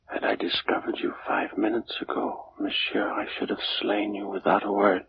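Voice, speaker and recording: An actor playing a weary, grieving, menacing immortal Egyptian priest's son in a hushed, brooding 1940s radio style. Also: male voice, on the low side, completely flat delivery, vocal fry, whispered. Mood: quiet menace. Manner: slow, hushed, brooding, deliberate delivery. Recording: muffled vintage radio recording with music.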